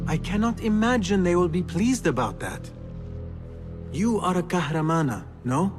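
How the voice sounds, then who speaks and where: Charming, lively young rogue. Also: male voice, sits high, normal speed, music in the background. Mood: wheedling. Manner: formal phrasing, teasing, persuasive. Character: smooth, charming, wheedling young male thief. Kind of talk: video game cutscene acting.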